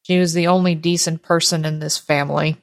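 A woman's voice: low-pitched, fairly even intonation, brisk, no room sound. A woman voicing a sullen teenage boy who talks curtly and reluctantly, bitter about his family, in a tabletop game. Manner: reluctant, sighing, curt.

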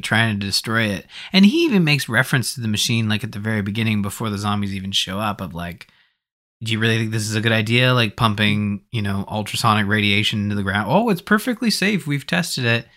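A man's voice: on the low side, animated, brisk, a bit bright. A man co-hosting a casual film discussion in loose, rambling, hesitant phrases. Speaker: podcast co-host.

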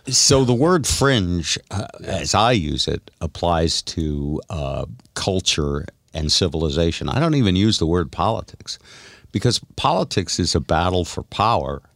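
A middle-aged man with a low voice talks as a radio host in a dry, close recording. He muses and explains his opinions in a casual, unhurried conversational style. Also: animated, gravelly, fairly bright tone, no room sound. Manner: explanatory, musing.

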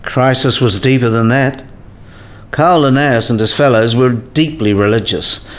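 A man's voice: mid-range voice, measured pace, close to an everyday voice. A man playing a patient, scholarly professor in a radio play, explaining things in a didactic way.

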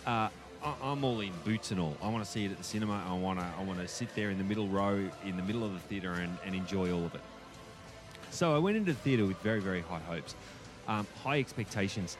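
An Australian man chatting informally as a podcast guest, colloquial and given to emphatic repetition. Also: fairly low pitch. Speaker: guest podcaster and film reviewer. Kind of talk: casual podcast chat.

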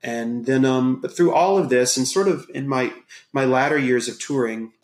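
Echoey Texan man telling his story. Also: medium pitch. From Texas. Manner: relaxed storytelling with many pauses and ums. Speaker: podcast guest, gym owner. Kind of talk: casual interview talk.

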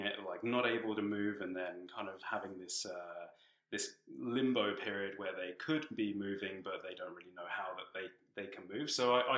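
A young British-born man raised in East Africa, chatting casually as a podcast guest about training, with a relaxed, filler-laden way of talking. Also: on the low side, echoey room, poor audio. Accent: British English, shifting from living abroad. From born in England, grew up in Tanzania. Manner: relaxed, chatty, many fillers, laughs between phrases. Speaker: podcast guest, strength coach. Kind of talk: casual conversational interview.

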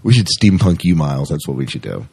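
A man's voice: rumbling low, very animated, quick pace, gravelly, darkish tone, slightly rough. A man hosting a podcast interview in a casual, chatty style.

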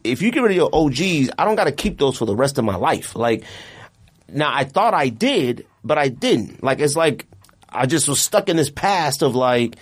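Animated man in his late thirties. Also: medium pitch, normal speed, breathy edge. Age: late 30s. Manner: animated, emphatic ranting with slangy address. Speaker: podcast host. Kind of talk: casual opinionated podcast chat.

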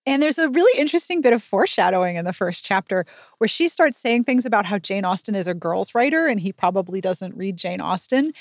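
A woman's voice: medium pitch, lively intonation, a little fast, no echo. Woman, middle-aged podcast host. Casual recap chat, dry studio sound, sarcastic asides.

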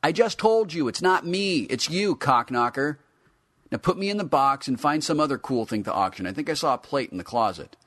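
A man's voice: fairly low pitch, wildly swooping pitch, unhurried, a bit bright, husky, no room sound, full character voice. A man voicing a brash, foul-mouthed talking action figure of a teenage space cadet, cocky and crude. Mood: exasperated.

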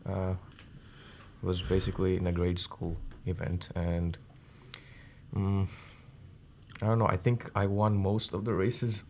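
A young man from India and Zambia speaks casually in an interview. His voice is very deep and quite monotone, with faint noise in the background. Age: teens or young adult. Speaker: student athlete podcast guest.

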